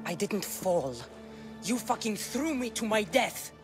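A woman's voice: medium pitch, lively intonation, measured pace, over music. An actress playing a tough, defiant young mercenary woman in a video game, with a firm, direct delivery. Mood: bitter, accusing. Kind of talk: dramatic video game acting.

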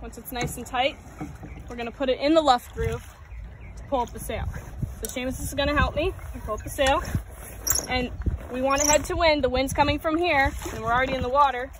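A woman's voice: high-pitched, steady tone, a little fast. A young woman sailing instructor giving a patient, step-by-step demonstration at a lake shore, naming each part as she goes, recorded on a phone close by. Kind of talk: outdoor how-to demonstration. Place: outdoors at a lake shore, standing in shallow water. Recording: outdoor phone recording with clear water and boat noise.

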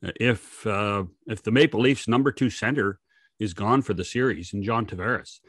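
A man's voice: lowish voice, moderate pace, a bit bright, no room sound, studio quality. An older man with a North American accent giving casual sports commentary as a co-host, repeating words for emphasis. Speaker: podcast co-host. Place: home room on a video call. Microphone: desk microphone close up.

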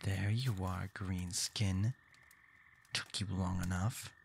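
A man's voice: sits low, steady tone, slow, gravelly, slight background noise, full character voice. A man playing a taunting, bossy bandit in an audio drama, with a sneering, intimidating manner. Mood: impatient, mocking. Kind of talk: audio roleplay drama acting.

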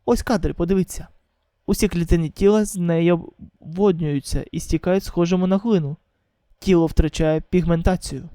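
A woman voicing a grave, measured news expert giving a formal report in a manga drama. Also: fairly low pitch, dark tone, no echo, studio quality, voice pushed down, natural voice.

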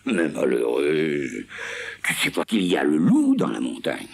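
A man's voice: very deep voice, huge pitch swings, a little slow, gravelly, slightly rough, full character voice. A man voicing an anxious older farmer, fussy and fond of his goat, exclaiming and fretful. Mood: pleading.

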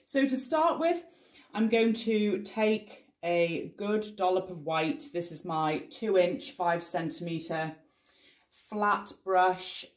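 A woman in her forties with a slightly low voice teaches painting from her studio easel. She explains each step patiently and encourages the viewer, thinking aloud as she works. The room is bare and gives her voice a noticeable echo. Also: slow pace. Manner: explanatory, reassuring, thinking aloud while working. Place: indoor studio in front of a cloth backdrop.

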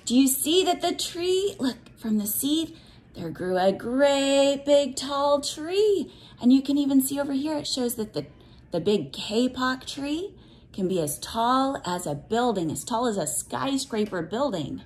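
A woman reading a picture book to young children and explaining it in simple words, with a sing-song, measured cadence. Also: high-pitched, expressive, darkish tone. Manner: simple, instructive phrasing aimed at young children. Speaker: read-aloud teacher for young children.

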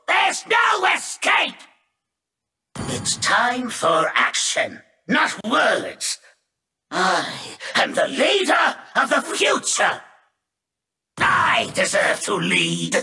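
A power-hungry robot villain, shouting in a piercing, theatrical voice that swoops wildly. It is pompous video game declaiming, slow and echoey. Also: male voice, vocal fry, full character voice. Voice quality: shrill, piercing. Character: arrogant, power-hungry male robot villain, treacherous schemer. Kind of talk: video game character lines.

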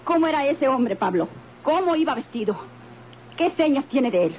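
A woman's voice: sits high, sing-song, moderate pace. An actress playing a stern, bitter motel-owning mother in an old radio soap, blunt and melodramatic, with a northern Mexican accent. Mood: wary, probing. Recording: tinny, noisy vintage radio recording.

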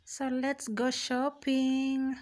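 A woman's voice: high voice, monotone, drawn-out words. Chatty young Kenyan woman vlogging. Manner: chatty and warm, mixing in Swahili phrases. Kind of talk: casual vlog narration.

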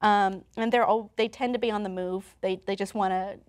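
A woman's voice: mid-range voice, fairly even intonation, moderate pace. A young woman presenting conversationally from notes as co-host of a talk show, recorded in a studio. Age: young adult. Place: TV-style studio, indoors.

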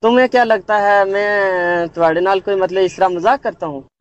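A man with a Punjabi accent talking casually and flirtatiously in a halting, wheedling drawl on a recorded phone call. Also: high voice, steady tone.

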